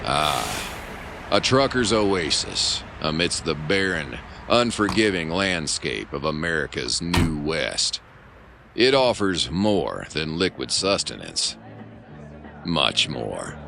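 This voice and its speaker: A man's voice: very deep voice, very animated, slow pace, creaky, music in the background, heavily acted. A man playing a grandiose pulp adventure narrator in a comic audio drama, pompous and dramatic with a straight face. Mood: dramatic, wry. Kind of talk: mock-heroic scripted audio drama narration.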